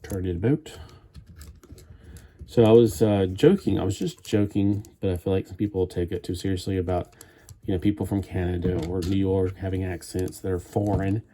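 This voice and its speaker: A man's voice: low voice, fairly even intonation, moderate pace, warm tone. A man chatting at home as he builds a toy kit live, meandering and thinking aloud with frequent restarts. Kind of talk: rambling live-stream chat while building.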